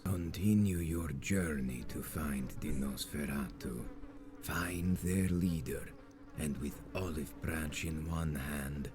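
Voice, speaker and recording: Very deep, menacing vampire zealot. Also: male voice, background noise. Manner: grandiose, solemn, archaic formal oratory. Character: menacing, zealous male vampire sect leader, ancient and commanding. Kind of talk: dramatic video game villain acting.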